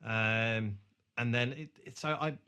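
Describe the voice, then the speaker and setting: A man's voice: medium pitch, takes it slowly, sharp tone, clear, resonant tone. A man co-hosting a podcast, chatting casually through a film recap in a hesitant, self-correcting way. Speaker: podcast co-host.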